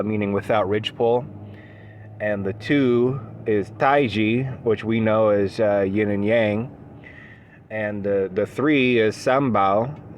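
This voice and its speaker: A man giving an unscripted solo talk for his video channel, measured and thoughtful, with frequent pauses, ums and restarts. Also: fairly low pitch, slight background noise. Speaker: esoteric channel host.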